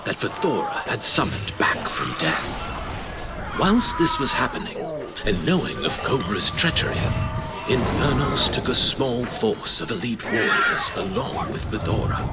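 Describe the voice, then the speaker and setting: A man playing a grave old archivist and mentor in an audio drama, telling history in a measured, formal, portentous way. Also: mid-range voice. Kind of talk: audio drama acting, storytelling narration. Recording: very loud music behind voice.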